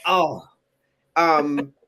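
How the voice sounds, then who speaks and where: A woman hosting a relaxed interview with a novelist, informal and chatty. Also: deep voice, very slow, rough recording. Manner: informal and chatty, drops phrases like "honey". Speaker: interviewer and festival founder. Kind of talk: casual conversational interview.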